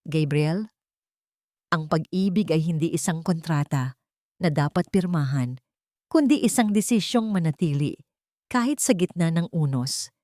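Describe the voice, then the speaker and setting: Forgiving bride in a romance audio story, acted by a woman: steadfast, tender, solemn, lowish voice. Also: animated, unhurried, long pauses, warm tone, no room sound, clean studio sound. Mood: tender resolve. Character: forgiving, steadfast bride speaking her love.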